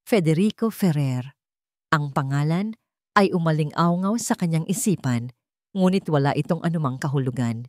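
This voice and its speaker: A woman reading a scripted romance drama in a solemn, emotive storytelling manner with deliberate phrasing. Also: sits low, expressive, darkish tone, dry recording, clean studio sound. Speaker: narrator.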